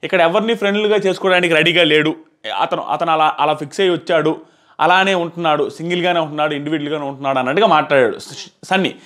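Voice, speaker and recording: A high-voiced man in his thirties talks quickly in a casual review style. He leans on key phrases and repeats them for stress. He speaks into a clip-on mic in a small plain room. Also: fairly bright tone. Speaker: reality show reviewer. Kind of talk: casual spoken review to camera. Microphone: clip-on lapel mic.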